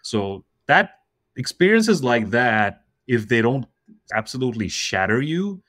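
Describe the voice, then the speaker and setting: A man in his forties from Russia, a comedian guest on a podcast, telling stories in an animated way, laughing mid-sentence and acting out quoted voices. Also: mid-range voice, a little slow, dry recording, studio quality. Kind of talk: casual podcast conversation.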